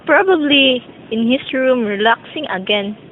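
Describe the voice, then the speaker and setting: High-pitched earnest young son, played by a woman. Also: animated, measured pace, a little hiss or hum. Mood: dutiful. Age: young adult. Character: grown sons of a rich man, respectful and pleading. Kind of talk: amateur student audio drama. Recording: muffled amateur recording with music.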